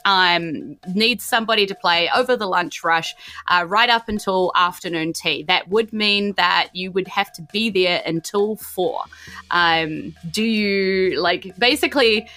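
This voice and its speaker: A woman's voice: mid-range voice, unhurried, sharp tone, faint music behind. A woman with a New Zealand accent running a tabletop game, narrating informally and putting questions to the players. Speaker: dungeon master of a tabletop game podcast. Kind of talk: improvised game narration, casual chat.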